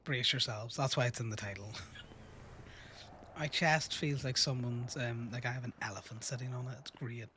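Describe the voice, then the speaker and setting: A man's voice: medium pitch, steady tone, moderate pace, bright, forceful tone, a little hiss or hum. A sick, sniffly man with an Irish accent chatting casually on a livestream, wry and self-mocking. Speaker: streamer. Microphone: desk microphone.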